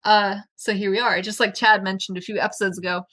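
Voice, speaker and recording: Bright-voiced woman co-hosting a podcast. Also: mid-range voice, little pitch movement, fast talker. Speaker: podcast co-host. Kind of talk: casual book discussion.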